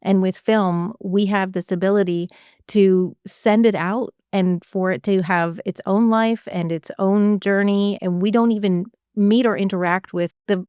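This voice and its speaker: A woman's voice: mid-range voice, steady tone, unhurried, darkish tone, smooth, no echo, studio quality. A woman from Canada hosting a podcast interview, talking in an enthusiastic, chatty way and laughing easily. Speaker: podcast host. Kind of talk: casual interview chat.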